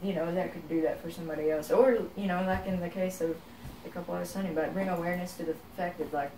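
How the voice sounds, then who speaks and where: A woman's voice: on the low side, steady tone, very fast, warm tone, a little hiss or hum. A young woman from Texas with a Texan accent, a singer-songwriter talking reflectively in a casual interview and filling her speech with "you know.". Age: young adult. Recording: roomy, from a distance.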